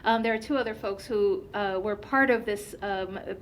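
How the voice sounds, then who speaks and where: A woman whose first language is Turkish, giving a conference presentation in an animated way with many fillers. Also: mid-range voice, moderate pace, a little hiss or hum.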